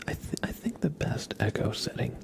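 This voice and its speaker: A man hosting an ASMR livestream, talking softly in a gentle, unhurried, chatty way to his viewers. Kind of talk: ASMR, soft whispered live chat and reading.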